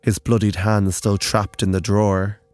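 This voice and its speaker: A man narrating a scripted horror story in a grave, deliberate manner with measured dramatic phrases. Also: lowish voice, level tone, darkish tone, no room sound.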